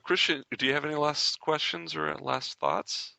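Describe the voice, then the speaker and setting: A man's voice: a little high, steady tone, moderate pace, creaky, bright, forceful tone, dry recording. A man hosting a casual interview, chatting in a jokey way and repeating phrases for emphasis. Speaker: podcast host, interviewer.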